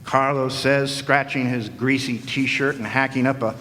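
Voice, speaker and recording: A man's voice: medium pitch, fairly even intonation, a little slow, sharp tone, a little hiss or hum. A man narrating as a wry, sardonic hard-boiled detective in the style of 1940s noir radio drama. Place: historic club hall, live audience performance. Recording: long echoing hall reverb.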